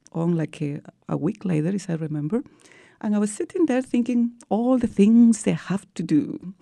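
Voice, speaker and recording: Peruvian-accented woman, low gravelly voice. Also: mellow, dry recording, clean studio sound. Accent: Peruvian Spanish. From Peru. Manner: earnest storytelling with non-native English phrasing. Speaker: guest. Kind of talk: radio interview.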